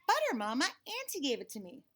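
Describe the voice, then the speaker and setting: A woman's voice: sits high, huge pitch swings, quick pace, a bit bright, exaggerated voice. A woman voicing a small, innocent, proud boy in a storybook, answering simply and eagerly.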